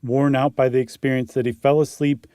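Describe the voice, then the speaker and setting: A man's voice: medium pitch, flat delivery, fairly quick, mellow, clear, resonant tone, no room sound, clean studio sound. An American man from Ohio co-hosting a podcast, moving between casual chat and dramatic reading of a horror story. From Ohio, United States. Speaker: podcast co-host. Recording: faint music under the voice. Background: faint music.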